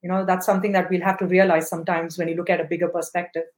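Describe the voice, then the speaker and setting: A woman's voice: lowish voice, little pitch movement, very fast. A woman hosting a podcast interview, encouraging and praising her guest, with many fillers. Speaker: podcast host.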